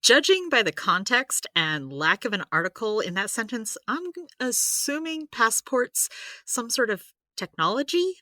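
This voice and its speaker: A woman's voice: a little high, big pitch swings, unhurried, bright, forceful tone, dry recording, clean studio sound, full character voice. A woman playing a wry, analytical supernatural healer who talks precisely and skeptically in an audio drama. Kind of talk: scripted audio drama dialogue.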